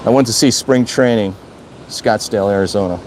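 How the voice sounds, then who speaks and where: A man's voice: lowish voice, a little fast, darkish tone, a little hiss or hum. An older man from Wisconsin chatting casually on a live stream, rambling and repeating himself as he answers viewer comments. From Wisconsin, USA. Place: outdoor city balcony.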